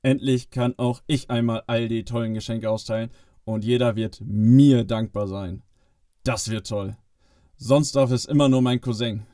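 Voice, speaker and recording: A man's voice: mid-range voice, steady tone, unhurried, warm tone, no room sound, studio quality, close to an everyday voice. A man playing a sly, vain cousin of Santa, eager and boastful, in a family Christmas audio play. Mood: gleeful.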